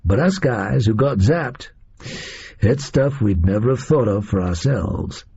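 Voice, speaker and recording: A blunt, sardonic gunman, put on by a man reading, drawling his words in slang with a gravelly, husky edge. His voice swings freely up and down, and the sound is muffled and lo-fi. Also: lowish voice, animated, measured pace, dark tone, full character voice. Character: tough American male gunman, blunt and sardonic. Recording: muffled lo-fi recording.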